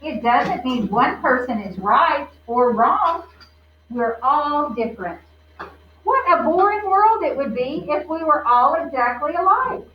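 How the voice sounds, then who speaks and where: A woman voicing a kind, wise grandpa in a children's storybook, gentle and encouraging. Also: high voice, expressive, a little slow, pitched above the performer's own voice. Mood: reassuring. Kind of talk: children's storybook read-aloud. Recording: roomy, distant, muffled, faint noise.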